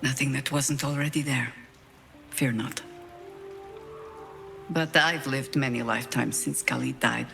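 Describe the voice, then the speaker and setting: A battle-worn older druid woman speaks slowly and deliberately in a bright, forceful voice. She is proud and wry, with grief underneath, in dramatic fantasy game dialogue over music. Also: extremely low pitch, steady tone, gravelly, heavily acted. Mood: reflective, tender. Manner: measured, deliberate, wry and eloquent.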